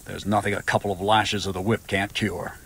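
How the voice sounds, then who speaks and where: A man's voice: on the low side, flat delivery, a little fast, gravelly, fairly bright tone, breathy edge, exaggerated voice. A man playing a stern, grumbling knight commander in a medieval video game. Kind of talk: video game dialogue acting.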